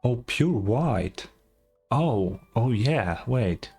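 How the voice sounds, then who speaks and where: A streamer giving casual game commentary, rambling and thinking out loud. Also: medium pitch, expressive, very slow, slightly rough. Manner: rambling, musing aloud. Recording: game music behind the commentary.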